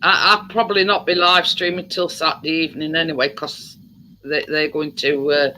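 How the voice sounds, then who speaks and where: A man's voice: fairly high pitch, little pitch movement, moderate pace, sharp tone, slight background noise, rough recording. A man with a Yorkshire accent, hosting a casual live stream chat, chatty and reassuring, often repeating his words. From England.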